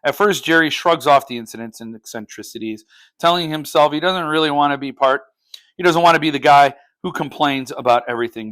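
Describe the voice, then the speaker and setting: A man hosting a book vlog, talking informally and haltingly as he thinks aloud. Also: mid-range voice, fairly bright tone. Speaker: host of a book unboxing channel. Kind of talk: casual unscripted book vlog chat.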